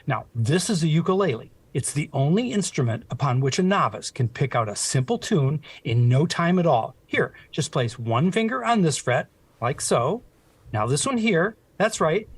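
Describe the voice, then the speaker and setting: A man acts a coaxing, encouraging music teacher in 1940s radio comedy style. His voice is fairly high and husky with very lively pitch, picked up from a little distance. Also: dry recording, heavily acted.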